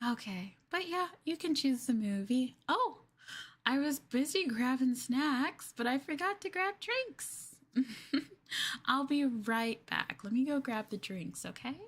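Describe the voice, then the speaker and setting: A woman's voice: high voice, lively intonation, slow, exaggerated voice. A woman playing a sweet, coy, possessive yandere girlfriend in an ASMR roleplay, with a doting, hesitant way of talking. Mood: affectionate, coaxing.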